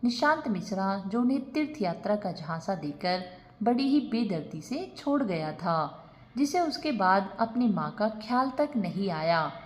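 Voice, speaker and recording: A woman's voice: medium pitch, big pitch swings, a little slow. A woman narrating a scripted moral family story as a storyteller.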